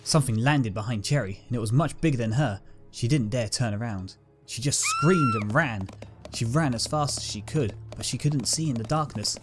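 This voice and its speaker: A man's voice: medium pitch, wildly swooping pitch, moderate pace, music in the background. A man narrating a dramatic scripted story as its reader.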